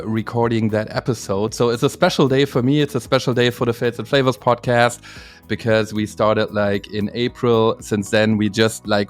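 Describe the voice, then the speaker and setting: A man with a German accent, a native German speaker, hosting a casual podcast in halting, enthusiastic English. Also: fairly low pitch. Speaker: podcast host. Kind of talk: casual interview talk.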